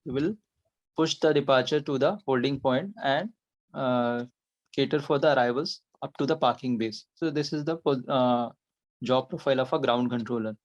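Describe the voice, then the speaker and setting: A man's voice: mid-range voice, moderate pace, dull audio. A man with an Indian accent, giving a methodical, step-by-step technical explanation as an interview guest. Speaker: air traffic controller, podcast guest.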